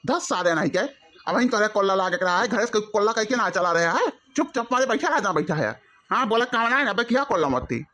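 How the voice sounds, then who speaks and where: A man with an Awadhi accent voicing a grumpy, threatening village father in a comedy cartoon, gruffly scolding and repeating himself. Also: high-pitched, expressive, a little fast, sharp tone. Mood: irritated.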